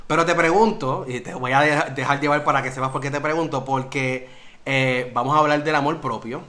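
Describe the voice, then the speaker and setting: Bright-voiced host. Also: male voice, mid-range voice, fairly even intonation, a little fast. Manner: friendly, hesitant, restarts questions with "este". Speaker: podcast host. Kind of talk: casual interview.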